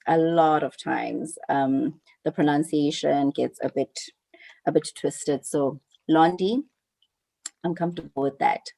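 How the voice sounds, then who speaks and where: A woman's voice: sits low, vocal fry, dark tone. A woman from South Africa speaking as a panel guest, thoughtful and earnest, often repeating words as she searches for them. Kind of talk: casual online panel chat.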